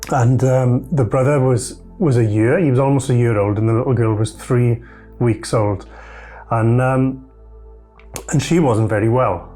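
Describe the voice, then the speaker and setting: A foster father telling a lively, self-mocking story about his family. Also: medium pitch, a little slow, soft background music. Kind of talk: anecdotal storytelling.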